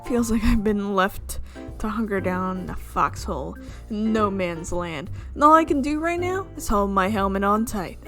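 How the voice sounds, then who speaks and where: A woman streamer reading a visual novel aloud in an expressive storytelling way, with asides. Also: medium pitch, normal speed, hardly any pauses. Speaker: video game streamer. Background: game music.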